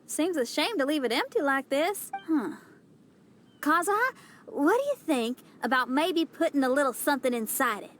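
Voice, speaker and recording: A woman's voice: high-pitched, animated, normal speed, slight background noise. A woman playing a curious, kindly girl companion in an anime video game, friendly and inquisitive.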